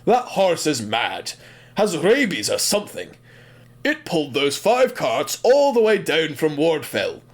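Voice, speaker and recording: A man's voice: fairly high pitch, huge pitch swings, a little slow, full character voice. An actor playing an earnest railway director in an audio drama, grave and deferential as he reports to his superior. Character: railway director, earnest witness backing up a tale.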